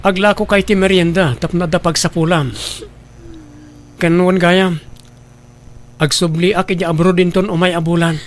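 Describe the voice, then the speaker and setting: Guy playing firm helpful younger sibling, high male voice, ilocano accent, radio drama. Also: fairly even intonation, moderate pace, pauses often, lightly acted. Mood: firm, reassuring. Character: firm younger sibling who helps out the elder sister.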